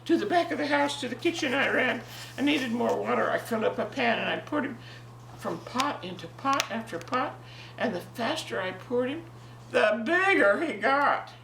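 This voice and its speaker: An elderly woman of about ninety speaks with a creaky, gravelly voice and a jovial, expressive manner. She sounds like a grandmother sharing a story at home. The recording is roomy and a bit distant, with faint noise. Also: on the high side, moderate pace. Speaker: grandmother telling a family story. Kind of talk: casual reminiscing and animated story reading for family.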